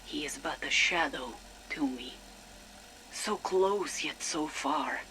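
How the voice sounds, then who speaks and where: Haunted true believer, reverent and deliberate, unhurried delivery for a video game audio diary. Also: male voice, fairly high pitch, bright, forceful tone, slight background noise, heavily acted, poor audio. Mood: reverent, resolute. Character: solemn devoted zealot, fanatically faithful and haunted. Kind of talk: dramatic audio log monologue.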